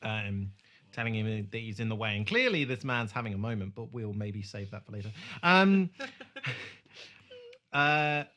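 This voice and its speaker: A man's voice: lowish voice, animated, normal speed, pauses often, sharp tone. A man hosting a podcast, chatting casually as a recap is talked through. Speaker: podcast host.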